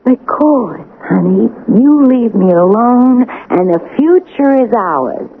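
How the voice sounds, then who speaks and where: A woman's voice: a little high, sing-song, takes it slowly, narrow, tinny audio, full character voice. A woman acting a cool-headed, scheming crook in a radio drama, controlling and conspiratorial, on an old broadcast recording. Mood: scheming, coaxing. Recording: band-limited old radio broadcast, some noise.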